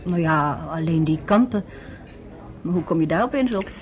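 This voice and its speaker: A guarded young nurse. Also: female voice, deep voice, animated, moderate pace, low-quality recording. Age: late 20s. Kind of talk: radio play acting.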